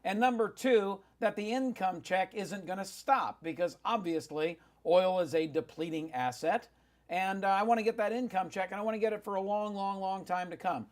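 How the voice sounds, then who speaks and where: Semi-retired male radio host, high-ish voice, brisk and pushy with questions. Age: older. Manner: brisk, pushes guest with time limits. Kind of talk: talk radio interview.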